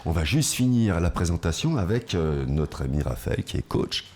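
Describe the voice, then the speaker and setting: A man hosting a casual radio interview in a studio, friendly and quick to laugh. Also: deep voice, big pitch swings, darkish tone. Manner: friendly, asks short questions, laughs often. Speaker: radio host.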